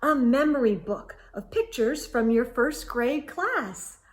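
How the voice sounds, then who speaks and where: Woman playing a gentle, high-voiced schoolteacher. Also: animated, takes it slowly. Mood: fond. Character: kindly female first-grade teacher, warm and encouraging.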